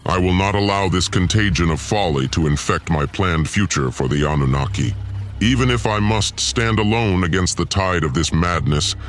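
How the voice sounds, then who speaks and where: A man's voice: very deep voice, slight background noise. A man playing a vengeful, disdainful god lord in an audio drama, delivering a formal, pompous and menacing villain monologue. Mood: resolute, scheming. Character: vengeful, disdainful male god lord plotting against humans.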